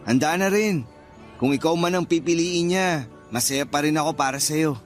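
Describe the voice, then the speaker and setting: Higher-pitched, kind young Filipino man acted out. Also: moderate pace, soft background music, natural voice. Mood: nervous, sincere. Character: kind, good-natured young male suitor, nervous but gracious. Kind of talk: Filipino radio drama acting.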